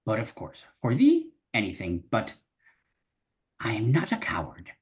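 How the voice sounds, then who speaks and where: A man's voice: fairly low pitch, wildly swooping pitch, measured pace. An actor in an online dramatic reading playing a brave, boastful little male fox knight who talks in old chivalrous speech. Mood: indignant. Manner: archaic chivalrous speech, blustering. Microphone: video call.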